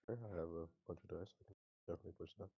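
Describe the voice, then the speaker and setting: A man's voice: low voice, steady tone, rapid-fire, vocal fry, dull audio, heavy background noise. A man playing a tabletop roleplaying game, chatting casually. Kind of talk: casual chat during online game.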